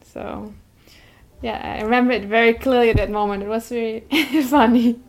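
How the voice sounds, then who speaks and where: Soft-spoken Vietnamese-native woman. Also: fairly high pitch, steady tone, normal speed. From Germany. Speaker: interviewee. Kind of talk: personal storytelling.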